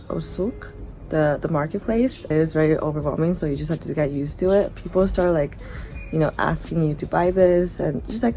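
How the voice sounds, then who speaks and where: A young woman chatting casually to camera as a travel vlogger, relaxed and drowsy, recorded at arm's length indoors. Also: low voice, low-quality recording. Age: young adult. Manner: relaxed, slow, drowsy after a massage. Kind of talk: casual vlog chat to camera. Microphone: camera held at arm's length. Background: background music.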